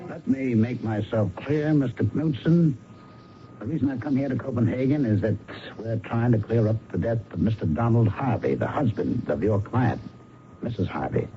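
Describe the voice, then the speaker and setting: A man's voice: medium pitch, moderate pace, lightly acted. A man playing a persistent, polite but insistent investigator in a radio mystery drama. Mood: insistent, formal. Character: firm, persistent male investigator from America. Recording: noisy muffled old radio recording.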